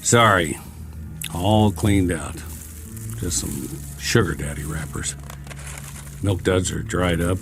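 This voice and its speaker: An actor playing a chatty, wry American soldier in a radio drama, talking in a folksy, rambling, joking way. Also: male voice, low voice, slow pace, pauses often, slight background noise. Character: chatty, wry, friendly American soldier, lonely war survivor.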